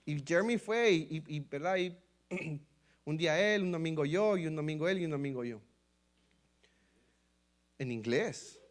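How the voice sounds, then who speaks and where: A man's voice: on the high side, moderate pace, pauses often. A Mexican man with a Mexican Spanish accent, a pastor telling his testimony in a sermon, often calling his listeners brothers and acting out the dialogue. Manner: storytelling, repeatedly addressing listeners as brothers; lively, acts out quoted dialogue.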